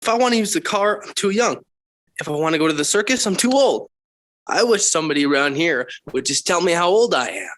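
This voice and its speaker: Fifteen-year-old son, 1940s radio sitcom. Indignant, pleading, slangy teen delivery. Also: male voice, fairly high pitch, animated, fairly quick, fairly bright tone, a little hiss or hum. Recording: vintage 1940s radio broadcast.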